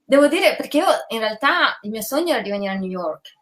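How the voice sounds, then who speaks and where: Expressive Piedmontese Italian woman. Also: a little high, fast. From Turin, Italy. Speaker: guest, commedia dell'arte actor. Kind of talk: casual video-call chat.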